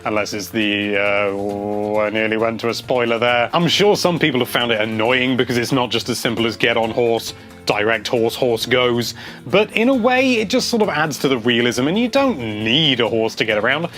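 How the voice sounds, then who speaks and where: A man's voice: medium pitch, expressive, normal speed, fairly bright tone, faint music behind. A man hosting a casual video, reading out viewer comments and chatting about them in a rambling, enthusiastic way.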